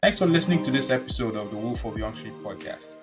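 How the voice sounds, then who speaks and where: A man's voice: medium pitch, expressive, fast talker, music in the background. Podcast host guy from nigeria, easygoing, laughs a lot, stumbles and restarts. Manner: friendly, agreeable, laughs easily, hesitant restarts. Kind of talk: casual interview chat.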